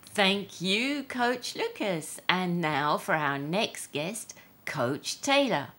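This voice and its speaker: A woman's voice: lowish voice, sing-song, slow pace, a bit bright, heavily acted. A woman voicing an upbeat female talk show host with bubbly, exaggerated enthusiasm. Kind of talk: audiobook character voice.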